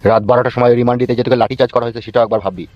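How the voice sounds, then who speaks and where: A man's voice: medium pitch, level tone, racing, no room sound, highs cut off, close to an everyday voice. A man voicing a stern police officer who scolds and lectures in a cartoon dub. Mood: scolding. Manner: scolding, bossy.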